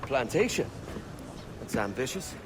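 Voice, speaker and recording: A man's voice: medium pitch, very animated, unhurried, background noise. A man with a British accent playing a brash, teasing young pirate captain in a video game. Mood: wry, teasing. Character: cocky, ambitious young male pirate captain. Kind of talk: video game cutscene acting.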